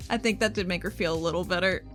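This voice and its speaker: A woman's voice: fairly low pitch, brisk, fairly bright tone, soft background music. A woman playing a tabletop roleplaying game, casually describing what her character does. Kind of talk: casual improvised game chat.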